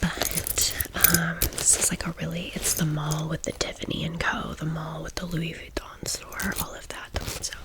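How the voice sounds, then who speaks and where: A woman's voice: sits low, little pitch movement, normal speed, hardly any pauses. An American woman in her thirties whispering a personal story as an ASMR host, chatty and confiding, drifting into asides.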